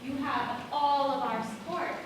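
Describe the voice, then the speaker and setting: A woman's voice: high voice, unhurried. A young woman playing a supportive, sociable schoolgirl in an amateur stage play. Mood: upbeat, sympathetic. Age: teen or young adult. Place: school stage, recorded from far off. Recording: echoey stage, far mic, noisy.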